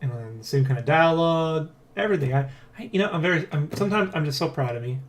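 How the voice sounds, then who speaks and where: A man hosting a casual dev blog, talking in a rambling, excitable way and often checking for agreement. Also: a little high, quick pace, background noise. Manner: rambling, keeps seeking agreement with "right?" and "you know?". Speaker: dev blog host and comic artist. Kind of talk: casual dev vlog chat, addressing viewers and co-worker.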